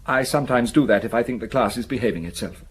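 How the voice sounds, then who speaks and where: Husky, anxious schoolmaster played by a man. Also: medium pitch, fast talker, close to an everyday voice. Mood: uneasy. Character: anxious, defensive village schoolmaster under suspicion. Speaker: actor in a radio drama. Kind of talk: radio drama dialogue.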